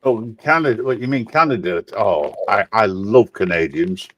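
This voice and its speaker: A man's voice: on the low side, expressive, normal speed, a bit bright, dry recording. A man bantering casually on a panel with a dry, teasing manner. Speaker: talk show panelist.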